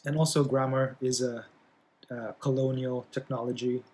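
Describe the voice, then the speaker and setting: A man's voice: mid-range voice, level tone, normal speed, darkish tone, slight background noise. Man, hesitant lecturing with fillers. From Philippines. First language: Bisaya. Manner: hesitant, many fillers, frequent tag "right?". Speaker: college English instructor. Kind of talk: casual recorded class introduction.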